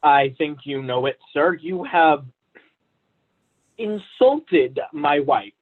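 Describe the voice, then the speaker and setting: The sound is narrow and phone-like. A young man plays a pompous poet and husband in a period stage comedy, his voice pitched somewhat high. He is vain and blustering, with stiffly formal speech. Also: animated, slow. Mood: stiff, indignant. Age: young adult. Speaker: student actor.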